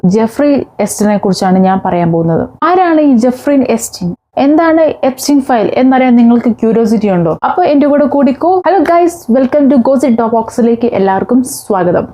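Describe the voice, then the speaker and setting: A woman's voice: a little high, warm tone. A woman hosting an explainer vlog, talking directly to viewers. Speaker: video host. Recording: dull, lo-fi sound.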